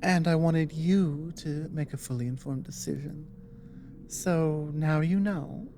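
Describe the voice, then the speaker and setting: A woman playing an earnest dragon woman, a careful advisor and lover, speaking softly and hesitantly with long pauses in an intimate ASMR roleplay. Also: low voice, a little hiss or hum. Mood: hesitant, concerned.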